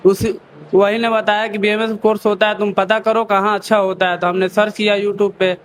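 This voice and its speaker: A man's voice: high voice, little pitch movement, moderate pace, a little hiss or hum. A student from Bihar explaining steadily how he found the course. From Bihar, India.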